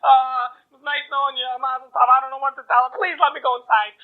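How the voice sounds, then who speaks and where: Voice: little pitch movement, quick pace. A storyteller voicing a terrified young bridegroom, pleading frantically in a raised voice, on tinny phone-like audio. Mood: desperate. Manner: frantic pleading, high and breathless.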